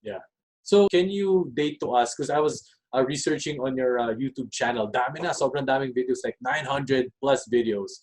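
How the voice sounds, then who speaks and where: Filipino accent guy hosting, slow rambling questions, mid voice. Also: mid-range voice, darkish tone, rough recording. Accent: Filipino English. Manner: slow, searching, mixes in Tagalog. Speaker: vlog host. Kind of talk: casual interview.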